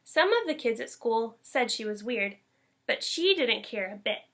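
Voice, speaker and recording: A woman reading a children's story aloud in an expressive, emphatic school read-aloud style. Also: on the high side, unhurried. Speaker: elementary school staff member reading aloud.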